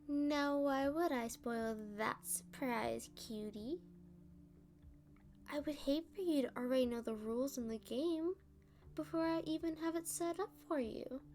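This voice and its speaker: A woman playing a playful, smug female supervillain in an ASMR roleplay, teasing and taunting with drawn-out phrasing. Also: fairly high pitch, a little mellow.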